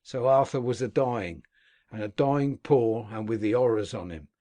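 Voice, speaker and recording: A man voicing a rough old male convict who tells his past in earthy dialect speech. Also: mid-range voice, moderate pace, warm tone, lightly acted. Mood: grim recounting. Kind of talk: audiobook character reading.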